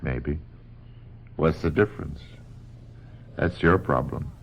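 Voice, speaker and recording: An actor playing a devoted, impassioned psychiatrist with an intense, emotive delivery in a radio drama. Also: male voice, a bass voice, slow, gravelly, exaggerated voice. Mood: grave. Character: devoted, impassioned male psychiatrist in love with his patient. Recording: dull vintage radio, faint hiss.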